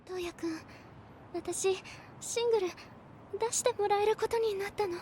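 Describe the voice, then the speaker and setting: A woman's voice: very high voice, fast, dark tone, a little hiss or hum. An actress playing a sweet young girl in an anime. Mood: earnest. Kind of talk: Japanese anime voice acting.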